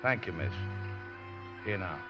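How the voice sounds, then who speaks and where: An actor playing a scrappy, good-hearted riverboat skipper with folksy, ungrammatical speech in a 1950s radio drama. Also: male voice, low-pitched, expressive, unhurried, music in the background. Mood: gentle, weary. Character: scrappy, rough-mannered male riverboat skipper, plain-spoken, good-hearted. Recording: muffled, noisy, reverberant old radio recording.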